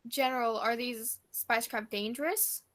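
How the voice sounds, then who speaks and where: A woman's voice: on the high side, normal speed, a bit bright, close to an everyday voice. A woman playing an earnest, overblown female news reporter in a comic radio play. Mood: grave, probing. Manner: portentous, overblown.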